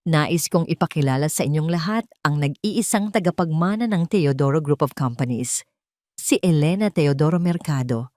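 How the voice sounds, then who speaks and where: A woman's voice: low-pitched, normal speed, dark tone, dry recording, studio quality, natural voice. A woman voicing a dignified elderly tycoon father who speaks with stately authority in an audio story. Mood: proud, formal. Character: dignified elderly patriarch tycoon, commanding yet loving father.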